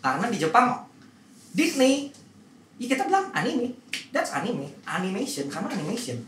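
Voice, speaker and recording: A man's voice: sits high, sing-song, moderate pace, a bit bright. A man speaking as a blunt, opinionated host in a casual podcast discussion. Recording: roomy echo, distant mic, faint noise.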